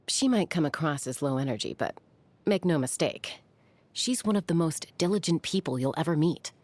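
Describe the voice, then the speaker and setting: A woman voicing a confident, frank young warrior in a game, with a measured, wry, matter-of-fact delivery. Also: deep voice, full character voice. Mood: fondly amused. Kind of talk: scripted game character voice lines, reflective monologue.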